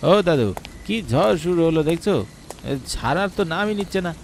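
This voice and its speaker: A headstrong, curious young grandson from a village horror cartoon, acted by a man. His voice lifts as he pleads and brushes off superstition, with clear rain and storm noise behind him. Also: fairly high pitch, lively intonation, moderate pace, a little mellow, pitched above the performer's own voice. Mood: exasperated. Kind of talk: Bengali horror cartoon dialogue.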